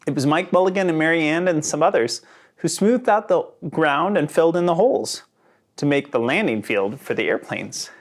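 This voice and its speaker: A man reading a children's picture book aloud in an expressive storytelling manner. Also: a little high, moderate pace. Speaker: storybook reader.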